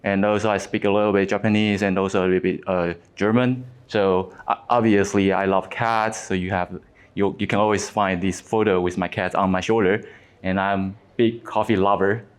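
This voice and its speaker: A man's voice: low-pitched, flat delivery, clean tone. Taiwanese-accented man giving a tech talk. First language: Taiwanese Mandarin. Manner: halting, frequent fillers and restarts. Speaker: conference speaker. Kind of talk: conference presentation.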